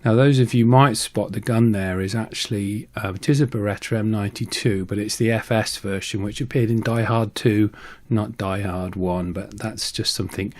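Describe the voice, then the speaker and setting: A man's voice: on the low side, fairly even intonation, normal speed. A middle-aged man who hosts a channel, casually narrating a hobby prop build. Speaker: host of a prop-making channel.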